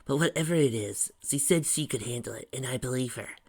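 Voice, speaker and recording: A man voicing a brisk, reassuring motherly woman in an amateur story reading. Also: medium pitch, husky, voice pushed up.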